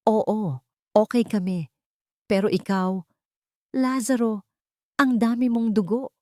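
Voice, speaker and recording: A woman narrator plays an anxious expectant mother in a romantic audio drama. She is tearful and pleading, her pitch lifted a little, in a dry close recording. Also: fairly even intonation, slow, mellow, no echo, close to an everyday voice. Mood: worried, tearful. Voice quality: trembling, pleading. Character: frightened, tearful pregnant woman, anxious and tender.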